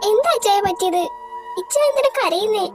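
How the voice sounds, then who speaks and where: A woman's voice: very high voice, animated, normal speed, over music, voice pushed up. A woman voicing an anxious teenage girl, a little sister who pleads and teases in an audio drama. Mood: worried pleading. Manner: pleading, then teasing. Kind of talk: amateur audio drama voice acting.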